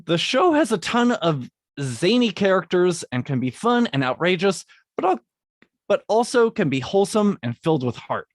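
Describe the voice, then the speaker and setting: A man's voice: high-pitched, very animated, normal speed, dry recording, clean studio sound. A man, a guest composer, reading scripted lines for a podcast and stopping to redo them. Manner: reads scripted lines, then breaks off to restart them. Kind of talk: recording a podcast segment with retakes.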